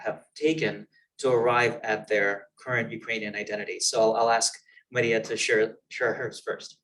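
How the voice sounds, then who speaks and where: A man moderating a panel discussion, measured and halting with fillers. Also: medium pitch, steady tone, a bit bright, far from the mic, a little hiss or hum, poor audio.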